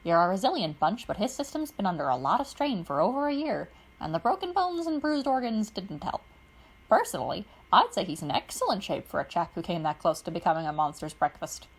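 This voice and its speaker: A woman reading aloud, voicing a chatty, cheerful older male royal advisor in a brisk, fussy, upbeat manner. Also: lowish voice, sing-song, breathy edge, heavily acted, low-quality recording. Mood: reassuring.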